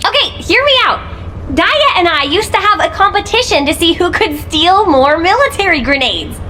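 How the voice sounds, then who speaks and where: A cheeky young troublemaker in a video game, teasing and full of energy. The voice is very high, bright and bouncy. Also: female voice, very animated, moderate pace, slight background noise, heavily acted. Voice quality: playful, teasing delivery with giggles. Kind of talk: game cutscene voice acting.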